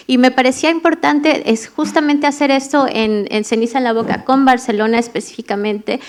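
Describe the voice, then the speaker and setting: Woman with a clear, high voice. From Mexico. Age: adult mother of two daughters. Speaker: panel writer. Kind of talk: literary panel discussion. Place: library event hall.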